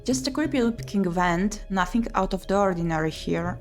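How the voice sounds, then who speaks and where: A woman's voice: medium pitch, expressive, moderate pace. A woman doing let's play commentary, reading visual novel text aloud expressively with muttered reactions. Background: game music.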